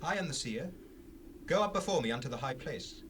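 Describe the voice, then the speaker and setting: An actor playing a solemn old prophet in a dramatized scripture reading, declaiming in formal archaic speech. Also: male voice, medium pitch, animated, fairly quick, fairly bright tone, lightly acted. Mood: solemn, assured. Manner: declamatory, emphatic. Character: Samuel, solemn old prophet warning his people. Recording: hissy 1970s cassette rip.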